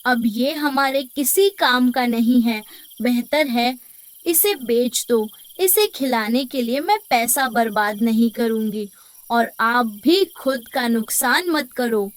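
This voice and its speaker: A woman's voice: a little high, takes it slowly, no echo. A woman voicing an overworked, thrifty farmer's wife in a cartoon story, with a complaining, nagging manner. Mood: scolding. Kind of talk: scripted cartoon story acting. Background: background music.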